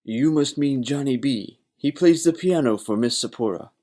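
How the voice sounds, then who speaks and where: A man's voice: medium pitch, lively intonation, moderate pace, warm tone, lightly acted. A man playing a naive, gossipy young bartender in a noir audio play, earnest and emphatic.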